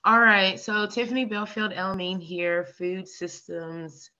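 A woman's voice: medium pitch, little pitch movement, takes it slowly, sharp tone. A woman in her thirties, a community organizer, introducing a guest on a video call with easy, filler-laced talk. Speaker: community organizer and meeting host. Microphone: laptop webcam on a video call. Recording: muffled video call audio.

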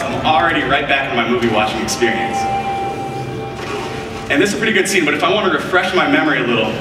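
A man's voice: medium pitch, noisy background. Young male presenter, upbeat scripted demo, heard from far off in a big echoing hall. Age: young adult. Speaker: product demonstrator. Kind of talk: scripted live product demo. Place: large keynote stage in front of a screen. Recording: echoey hall audio picked up from afar.

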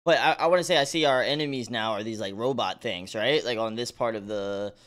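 A man's voice: mid-range voice, a little fast, a bit bright. A man giving slangy, appreciative reaction commentary as a video host.

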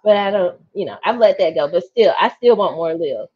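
Expressive woman on a dull-sounding call. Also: fairly low pitch, fairly quick, husky. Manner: expressive, slangy. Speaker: book discussion panelist. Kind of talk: casual chat. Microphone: video call. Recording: dull, lo-fi video call audio.

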